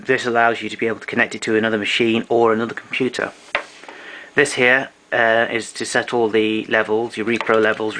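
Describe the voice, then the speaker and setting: A man's voice: on the low side, sharp tone, a little hiss or hum, rough recording. A man giving an informal technical demonstration of equipment, explaining things methodically.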